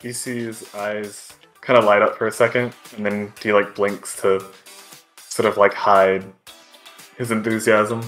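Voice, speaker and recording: A man improvising narration as game master, in halting, measured phrases. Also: lowish voice, level tone, a bit bright, soft background music.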